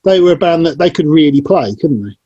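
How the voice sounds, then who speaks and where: A man's voice: a little high, lively intonation, a little fast, mellow, breathy edge, muffled recording. A man from Northamptonshire hosting a casual chat, talking in a chatty way and often laughing at his own asides. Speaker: host.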